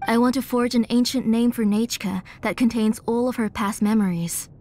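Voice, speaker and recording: Woman acting an aging, devoted mother, earnest and measured. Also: on the high side, steady tone, a little mellow, a little hiss or hum, lightly acted. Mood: calm, confiding. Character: aging, devoted mother and master engraver, weary but resolute. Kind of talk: video game voice acting.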